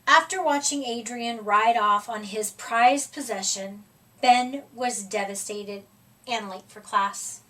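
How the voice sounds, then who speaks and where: A woman reading a children's picture book aloud expressively, with deliberate dramatic emphasis and stretched-out words. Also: fairly high pitch, a bit bright, distant mic, low-quality recording. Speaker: storybook reader.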